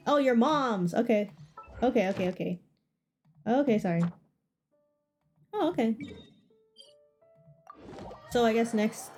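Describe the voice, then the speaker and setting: A woman's voice: mid-range voice, mellow. A woman livestreamer chatting casually with her viewers, often repeating short phrases. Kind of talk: casual chat with stream viewers. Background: game music.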